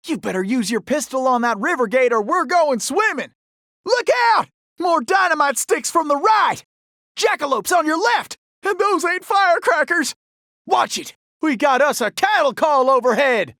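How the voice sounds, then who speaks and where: An actor playing a cocky, gallant young cowboy outlaw in a video game, with a folksy, exclamatory Old West Southern drawl. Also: male voice, very high voice, lively intonation, normal speed, pushed and bright, no room sound, studio quality, exaggerated voice. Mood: urgent, alert. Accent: American Old West Southern drawl. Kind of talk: animated video game character acting.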